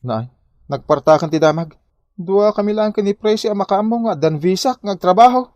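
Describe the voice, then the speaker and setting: An actor as a village man easily exasperated, in an Ilocano comedy drama. His voice is fairly high for a man and recorded dry, with an Ilocano accent. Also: expressive, normal speed, a little mellow, dry recording, lo-fi sound. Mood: flustered.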